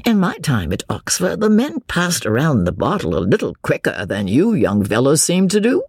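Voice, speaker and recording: A narrator voicing a courtly, mild English gentleman who is bland, polite and slyly encouraging to his cousin. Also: mid-range voice, wildly swooping pitch, normal speed, slightly rough, no room sound, clean studio sound, heavily acted. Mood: sly, coaxing.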